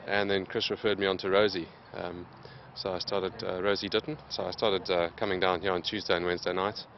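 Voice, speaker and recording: Deep-voiced man, plain and steady. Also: lo-fi sound, slight background noise. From Zimbabwe. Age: late 20s. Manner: steady, plain, many "um" pauses. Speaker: interviewed athlete. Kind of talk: casual interview answers.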